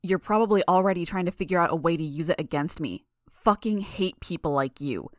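A woman's voice: fairly low pitch, fairly quick, dry recording, clean studio sound, lightly acted. A woman voicing a hostile, distrustful young woman in an audiobook, curt, combative and given to swearing. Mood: hostile, accusing. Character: hostile, foul-mouthed young woman, aggressive and distrustful loner. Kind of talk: dramatised audiobook dialogue.

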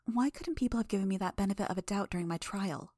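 A woman reading an audiobook, voicing a guarded, soft-spoken young woman. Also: medium pitch, fast talker, no echo, clean studio sound, close to an everyday voice. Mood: wary.

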